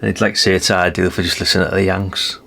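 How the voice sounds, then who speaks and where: British male hobbyist vlogger, fifties, gravelly low voice, rambling and informal, musing aloud with plenty of tag questions. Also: level tone, bright, forceful tone, a little hiss or hum. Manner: musing, unhurried, frequent tag questions and self-corrections. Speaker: radio hobbyist presenting a video. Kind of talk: casual rambling vlog chat.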